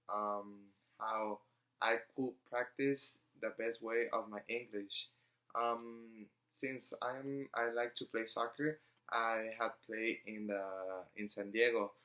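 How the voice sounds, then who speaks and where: A young man speaks English, his tongue showing through. He talks at an unhurried, slow pace and often stops to search for words with ums. His voice sits at an ordinary middle pitch. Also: fairly bright tone, low-quality recording. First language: Spanish. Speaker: student vlogger. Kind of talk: casual personal vlog.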